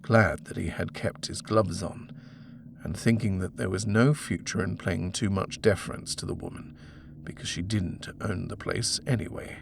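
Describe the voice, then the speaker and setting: Guy narrating a horror audiobook, lowish creaky voice, bit of background noise. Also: moderate pace. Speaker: audiobook reader. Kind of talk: scripted horror story narration.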